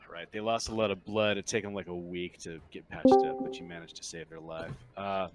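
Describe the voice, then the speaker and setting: A man narrating a tabletop roleplaying game as game master, improvising in an informal, halting way. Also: deep voice, expressive, creaky, over music.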